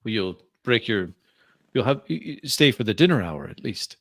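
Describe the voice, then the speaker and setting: Genial Parisian professor for tabletop roleplay, played by a man, French accent, fairly low voice, formal and gracious. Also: sing-song, normal speed, no room sound, studio quality. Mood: sociable, amused.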